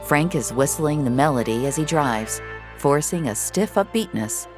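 Low-voiced woman narrating audio fiction. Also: animated, normal speed, music in the background. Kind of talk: dramatic scripted fiction narration.

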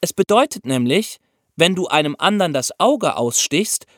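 Animated, higher-voiced man explaining, dry sound. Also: moderate pace, no echo. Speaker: podcast co-host. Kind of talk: explanatory podcast talk for young listeners.

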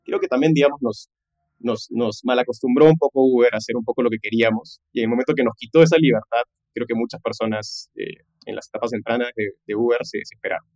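A man's voice: medium pitch, fast talker, a little mellow, no echo, muffled recording. A man, telling his career story conversationally as a podcast guest, dropping in English business jargon and frequent tag questions. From Peru. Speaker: podcast guest, tech entrepreneur.

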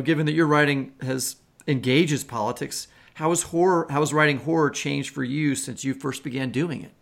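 Gravelly higher-voiced man hosting interview. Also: fairly bright tone. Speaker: podcast co-host. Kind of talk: conversational interviewing. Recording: dull lo-fi recording.

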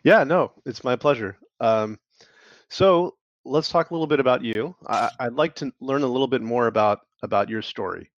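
A man's voice: mid-range voice, normal speed, no echo. A man hosting an interview, talking hesitantly with many fillers and restarts. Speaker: interview host. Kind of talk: casual interview. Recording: dull lo-fi sound, dry.

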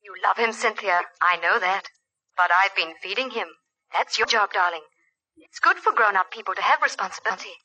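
A loving but firm English mother. Her voice is very bright and somewhat husky, in 1940s radio-play manner. The old recording is muffled. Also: medium pitch, fairly quick. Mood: gently firm. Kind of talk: 1940s radio drama acting.

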